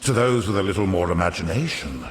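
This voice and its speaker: An actor playing a wily, vain, centuries-old merchant lord in a video game, pompous and theatrical, with ornate aristocratic diction. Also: male voice, deep voice, lively intonation, quick pace. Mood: reverent, lofty. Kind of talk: scripted video game character monologue. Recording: echoey hall with long reverb.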